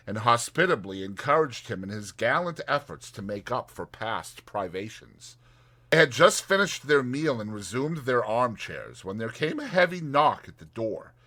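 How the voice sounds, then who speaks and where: A man's voice: on the low side, sharp tone. A man reading a dramatized audiobook as its narrator, in scripted storytelling style.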